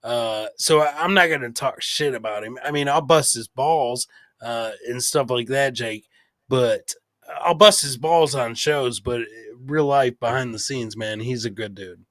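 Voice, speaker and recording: A man with a medium-pitched voice hosts a casual livestream chat. He talks informally and peppers his talk with swearing and buddy-like address. The sound is dry, with little room in it. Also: measured pace, fairly bright tone, no echo. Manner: informal, profane, says "man" and "brother" often. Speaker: podcast host.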